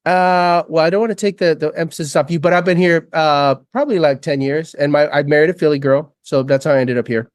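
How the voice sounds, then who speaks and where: Male co-host from American Samoa; friendly, joking, halting delivery, dry recording. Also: on the high side, clear, resonant tone, no echo. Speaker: podcast co-host. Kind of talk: casual podcast chat.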